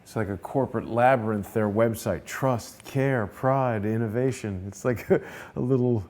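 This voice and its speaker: A man's voice: mid-range voice, moderate pace, a little mellow. A man interviewing in a casual chat, listening attentively and asking questions. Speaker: interviewer, host.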